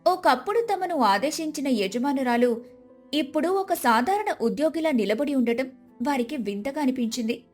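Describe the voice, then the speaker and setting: High-voiced woman narrating a story. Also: lively intonation, moderate pace, darkish tone. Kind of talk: scripted moral-story narration. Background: background music.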